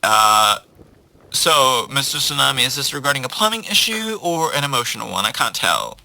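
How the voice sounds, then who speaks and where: A man's voice: mid-range voice, moderate pace, gravelly, dry recording, exaggerated voice, rough recording. A man voicing a weary, unimpressed female receptionist in a comic story, blunt and dismissive, with hesitant ums. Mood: polite, unimpressed. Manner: flat, dismissive, hesitant customer-service talk with ums.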